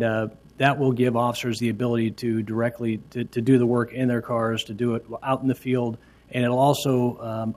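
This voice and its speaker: Halting official man, flat delivery. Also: mid-range voice. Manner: halting, hesitant explanations. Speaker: city official. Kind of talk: answering council questions.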